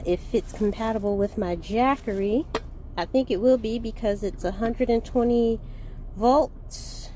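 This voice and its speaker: A woman in her forties vlogging casually from inside her parked van, chatting in an unhurried way and thinking aloud with fillers. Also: medium pitch, slight background noise, poor audio. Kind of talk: casual vlog narration.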